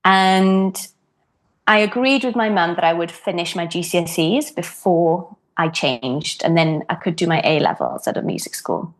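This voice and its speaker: A woman's voice: lowish voice, normal speed. A woman in her thirties, a harpist, talking reflectively about her career in a podcast interview, with frequent ums. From Wales. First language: Welsh. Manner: thoughtful, frequent ums and restarts. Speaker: guest harpist.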